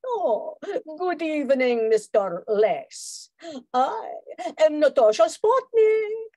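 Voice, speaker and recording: Slow, high, swooping Martian femme fatale. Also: female voice, very animated, warm tone, no echo, exaggerated voice. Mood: seductive. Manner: theatrical, drawn-out, sultry delivery. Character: seductive, boastful female Martian spy villain. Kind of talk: old-time radio spoof acting.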